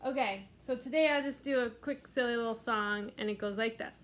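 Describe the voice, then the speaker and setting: A gentle, expressive middle-aged woman reads a picture book aloud to young children. Her voice sits a little high and lifts in a sing-song way as she tells the tale. A faint hum of noise stays in the background. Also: fairly quick. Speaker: children's librarian. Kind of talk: storytime read-aloud for children.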